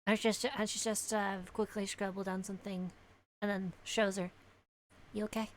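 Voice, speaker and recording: A woman of medium pitch takes part in a tabletop game. She talks casually as a player, describing what her character does. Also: level tone, normal speed.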